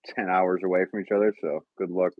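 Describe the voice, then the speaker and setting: A man's voice: sits low, flat delivery, brisk, narrow, tinny audio. A man from Connecticut hosting a casual live-stream podcast, rambling with lots of fillers and frequent laughter. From Connecticut, USA. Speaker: podcast host.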